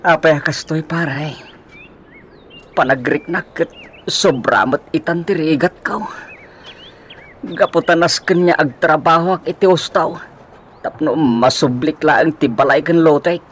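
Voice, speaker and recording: A man's voice: on the high side, fairly even intonation, unhurried, long pauses, a bit bright. An actor playing a remorseful man who lost his family and house, pleading and stammering in a radio drama. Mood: regretful. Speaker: lead character. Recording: faint background noise.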